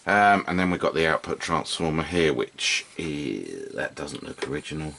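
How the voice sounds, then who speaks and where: Low-voiced man thinking aloud over background noise. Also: lively intonation, moderate pace, gravelly, a bit bright. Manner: hesitant, thinking aloud, understated. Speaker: host of a hobby radio repair video. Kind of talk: casual unscripted demonstration commentary. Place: indoors at a workbench.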